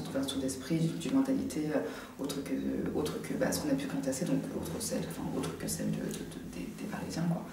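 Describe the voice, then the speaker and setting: A young woman from France, an art student, answering interview questions casually and haltingly, often pausing to think. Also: low-pitched, a little mellow. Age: young adult. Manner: halting, thinking aloud with many pauses. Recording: very echoey, distant from the mic, faint noise.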